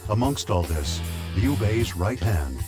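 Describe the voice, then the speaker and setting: Deep guy doing grave story narration, music blasting under it. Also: very animated, a little slow. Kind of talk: scripted narration.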